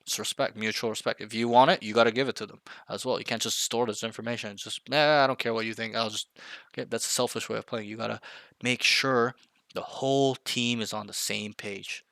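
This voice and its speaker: A man's voice: medium pitch, steady tone, a little fast, gravelly, sharp tone, no echo. A man coaching a younger player, blunt and emphatic, repeating key points to drive them home. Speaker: game coach. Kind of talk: coaching session, direct feedback.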